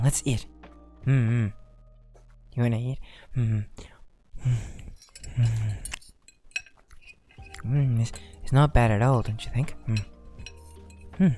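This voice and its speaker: Man playing a slow, mellow, tender werewolf boyfriend. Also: mid-range voice, close to an everyday voice. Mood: gentle, caring. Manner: hesitant, affectionate, soft-spoken, often chuckling. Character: shy, tender male werewolf boyfriend, young adult. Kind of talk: soft romantic ASMR roleplay.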